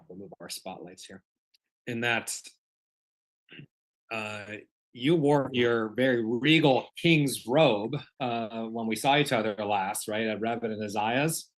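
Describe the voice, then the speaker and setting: A man's voice: mid-range voice, low-quality recording. Encouraging man hosting an online gathering. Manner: encouraging, addresses group as gang. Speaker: host of an online community gathering. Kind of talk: casual live hosting on a group video call. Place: online video call.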